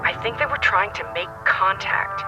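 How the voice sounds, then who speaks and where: A woman playing a dramatic leading-lady actress, telling her story earnestly and haltingly in a TV interview. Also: mid-range voice, lo-fi sound, loud music behind the voice, lightly acted. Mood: insistent, mysterious. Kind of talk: TV talk show interview acting in audio drama.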